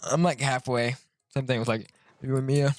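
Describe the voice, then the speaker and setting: A man's voice: medium pitch, steady tone, moderate pace, dry recording. A man giving casual, playful live stream commentary while he plays a video game, offhand and reacting aloud.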